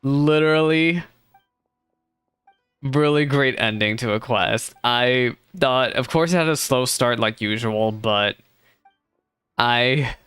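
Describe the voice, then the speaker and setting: Very deep-voiced woman, casually reacting. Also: slow, long pauses, smooth, no room sound. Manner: expressive, talks back to the game's characters. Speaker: host of a game cutscene reaction video. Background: game music and dialogue.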